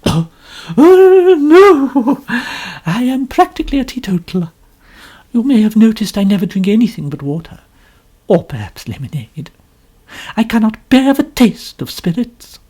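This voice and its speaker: Storybook figure: high, loud, theatrical lady novelist, complaining and self-important, put on by the narrator. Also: big pitch swings, a little slow, breathy edge. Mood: gushing. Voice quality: complaining, melodramatic. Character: pompous, gushing older woman novelist.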